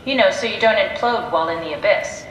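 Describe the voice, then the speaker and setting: A woman's voice: medium pitch, fast talker, a little hiss or hum, natural voice. An actress playing a curious, pragmatic woman scientist in a video game, talking in an analytical, matter-of-fact, conversational way. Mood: brisk, curious. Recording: echoey room sound with music behind.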